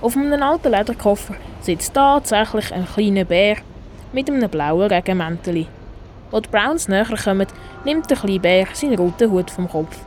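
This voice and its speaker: A woman's voice: medium pitch, expressive, normal speed, a little mellow. Swiss German woman narrating, faint noise behind. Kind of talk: scripted children's radio play narration.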